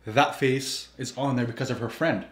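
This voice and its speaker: Man, reaction co-host, emphatic and agreeing, mid-pitched, echoey room. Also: steady tone, moderate pace, a bit bright. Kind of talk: casual reaction commentary.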